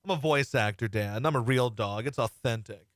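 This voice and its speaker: A man's voice: medium pitch, moderate pace, no room sound, clean studio sound, pitched below the performer's own voice, lightly acted. A man voicing his pet dog as a fussy, indignant complainer who keeps demanding things from his owner. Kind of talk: comic bit on a talk show.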